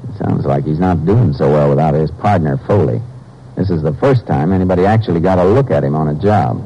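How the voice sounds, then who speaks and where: Old time radio texas ranger, low mellow voice, clipped and businesslike, muffled recording. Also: male voice, brisk, husky, full character voice. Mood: brisk, investigative. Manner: clipped, matter-of-fact. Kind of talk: 1950s radio drama acting, narration and dialogue. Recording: muffled 1950s radio broadcast, music behind.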